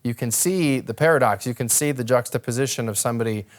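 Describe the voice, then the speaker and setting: A young American man speaks as a guest, telling his own story. His voice is very clear and smooth at a medium pitch. He is conversational, articulate and reflective. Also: quick pace. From northern Wisconsin, United States. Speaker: interview guest, ministry worker.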